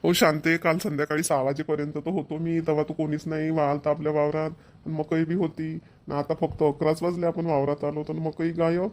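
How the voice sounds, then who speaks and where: A man acts a worried village farmer in a cartoon comedy. The voice sits fairly high for a man and grumbles. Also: level tone, brisk, a little mellow, close to an everyday voice. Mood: baffled, upset.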